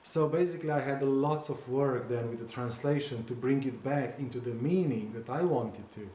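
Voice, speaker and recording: A man's voice: medium pitch, moderate pace, dark tone, a little hiss or hum. Hesitant Slovene-speaking man, echoey room. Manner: hesitant, searching for precise words. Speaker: memoir author. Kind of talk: conversational interview.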